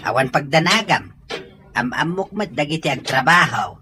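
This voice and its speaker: Woman acting old father, hearty, doting, lively swooping intonation, expressive, radio drama, music behind. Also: sits low, moderate pace. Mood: jovial, reassuring.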